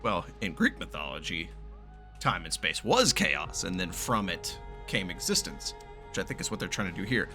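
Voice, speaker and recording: Animated, bright-voiced man reacting to a game. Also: deep voice, normal speed. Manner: profane, exclamatory, baffled questioning. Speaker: gaming streamer reacting to a story quest. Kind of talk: casual live reaction commentary. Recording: game music faintly behind. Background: game music and dialogue.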